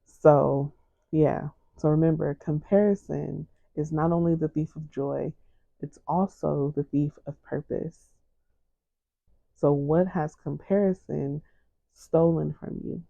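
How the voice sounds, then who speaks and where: Soft-spoken woman with a low, soothing voice. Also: fairly even intonation, gravelly, no echo, dull audio. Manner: gentle, unhurried, encouraging. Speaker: podcast host. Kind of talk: faith-based encouragement talk.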